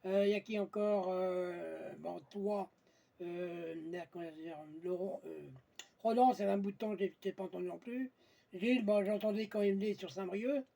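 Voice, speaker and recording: A man's voice: high-pitched, moderate pace, creaky, warm tone. A man chatting casually on amateur radio, blunt and colloquial, often searching for his words. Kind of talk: casual radio contact chat.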